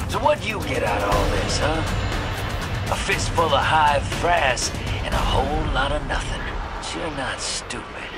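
An actor playing a sly, grizzled male gunslinger and smuggler in a video game, talking in a drawling, sardonic, teasing way. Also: fairly high pitch. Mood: taunting. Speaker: game character. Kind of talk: video game cutscene dialogue. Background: game music.

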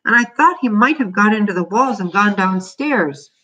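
A narrator voicing a small excitable boy, hopeful and insistent, in a children's audiobook style. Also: mid-range voice, moderate pace, far from the mic, pitched above the performer's own voice, rough recording. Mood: defensive. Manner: eager, calling out, insistent.

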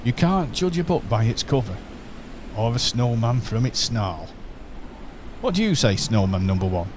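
A calm, eccentric time-traveling alien, played by a man muses in an unhurried, whimsical way. His pitch rises and falls a lot. A clear hiss of noise sits under the radio play. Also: lightly acted. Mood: musing.

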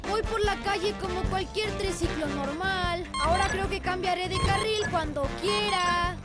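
Casting: little animated trike, deliberate and cautious, tiny high voice over music. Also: female voice. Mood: matter-of-fact. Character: small, cautious, chatty child tricycle. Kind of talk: animated children's cartoon dubbing. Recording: loud music behind the voice.

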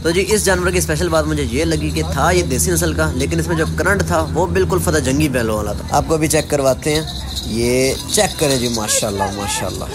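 An upbeat man narrates a livestock vlog. His voice sits a little high, his tone playful and teasing with rhymes and self-mocking jokes. Steady noise runs underneath. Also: a little fast. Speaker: vlogger narrating voice-over. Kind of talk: enthusiastic voice-over narration.

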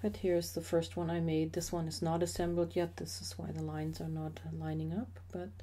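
A middle-aged woman narrating a slideshow about her woodworking hobby in a measured, explanatory way with occasional fillers. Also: low voice, little pitch movement, dark tone. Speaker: associate professor sharing a hobby.

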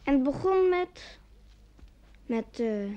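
A woman's voice: high voice, expressive, slow, warm tone. A woman playing a chatty child on holiday in a radio play, on a dull old recording. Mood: eager, chatty. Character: chatty child writing home from holiday with aunt.

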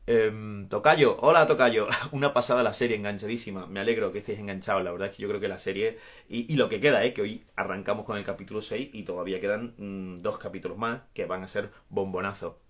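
An Andalusian man in his thirties talks into a phone or webcam in a small room at home. His voice sits a little low, and he chats loosely and laughs a lot as he answers viewers' questions. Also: steady tone, fast talker. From Andalusia, Spain. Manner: warm, chatty, laughs often, gestures while talking. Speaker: actor answering fans in a live stream. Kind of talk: casual live chat reading viewer comments. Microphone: phone or webcam at arm's length.

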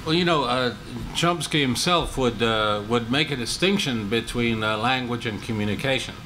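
A man's voice: medium pitch, moderate pace, pushed and bright, a little hiss or hum, poor audio. An older man speaking on an academic panel, hesitant, with many restarts and fillers. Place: large meeting room with seated audience. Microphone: table microphone.

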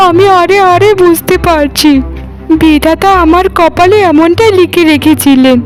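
A woman's voice: extremely high pitch, measured pace, faint music behind, low-quality recording. A woman voicing an exhausted, self-pitying, overworked young wife in a cartoon, plaintive and pleading. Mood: lamenting. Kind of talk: cartoon voice acting.

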